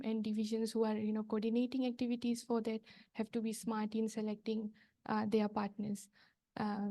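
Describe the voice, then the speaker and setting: A soft-spoken woman from Fiji gives a workshop talk as a project coordinator. Her voice is mellow and somewhat high. She pauses often and fills the gaps with hesitations. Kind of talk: workshop presentation without slides.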